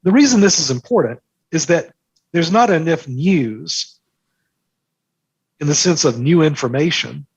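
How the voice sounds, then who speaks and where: A man's voice: on the high side, expressive, measured pace, pauses often, slightly rough, dry recording, poor audio. A man talking as an interview guest, explaining things conversationally and emphatically, repeating phrases for effect. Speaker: interview guest, markets newsletter author.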